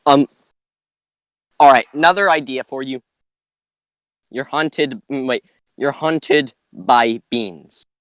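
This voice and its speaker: A man chatting casually and jokingly on a podcast, halting and repeating his phrases. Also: medium pitch, fairly bright tone, smooth, no echo, highs cut off.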